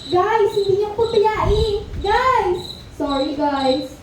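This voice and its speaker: Very high, anxious schoolgirl played by a woman. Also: animated, very slow, exaggerated voice. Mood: distressed. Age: teenager or young adult. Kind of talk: amateur school radio drama acting. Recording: echoey hall, distant mic, noisy.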